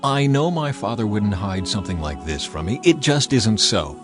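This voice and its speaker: A man's voice: on the low side, sing-song, normal speed, over music. A man voicing an earnest, loyal young prince who pleads and reassures. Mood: reassuring.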